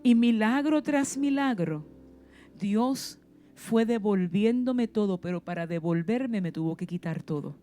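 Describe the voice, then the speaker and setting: Puerto Rican woman preacher, mellow mid-pitched voice, fervent sermon with pointed questions, soft music under her. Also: expressive, a little slow. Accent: Puerto Rican Spanish. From Puerto Rico. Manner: passionate preaching with rhetorical questions and sudden emphasis.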